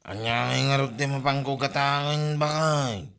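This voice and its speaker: An actor with an Ilocano accent playing a hapless, hesitant village husband in a comedy drama. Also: male voice, medium pitch, fairly even intonation, unhurried, creaky, a bit bright, smooth. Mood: grumbling.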